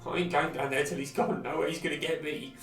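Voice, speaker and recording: A man's voice: fairly high pitch, flat delivery, fast, a bit bright, lightly acted. An actor playing an agitated, paranoid townsman in a video game, fretting in a rambling, folksy way. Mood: paranoid, fretful. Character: paranoid, agitated townsman hiding upstairs from a paladin. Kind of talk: video game character acting. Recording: roomy, distant, faint music.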